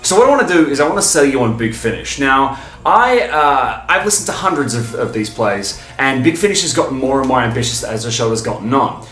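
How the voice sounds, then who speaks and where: A man hosting a fan video, talking in an enthusiastic, informal, emphatic way, stopping and starting with pauses for breath. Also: mid-range voice, very animated, fairly bright tone, echoey room, faint music behind. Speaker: video host and fan reviewer.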